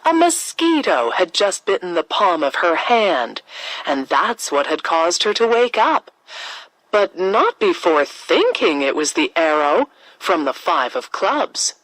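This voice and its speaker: A woman's voice: on the low side, sing-song, takes it slowly, fairly bright tone, no echo, poor audio. A woman reading scripted narration for a children's story as the storyteller.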